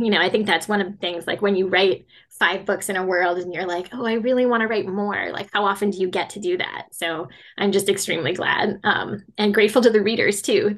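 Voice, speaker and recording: Chatty woman author, laughs often. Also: medium pitch. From grew up mostly in Latin America. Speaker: children's fantasy author. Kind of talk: conversational interview answers.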